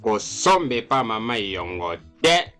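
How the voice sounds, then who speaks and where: A man voicing a bossy cartoon character with an emphatic, deliberate delivery. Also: on the low side, big pitch swings, fairly bright tone, faint music behind, exaggerated voice.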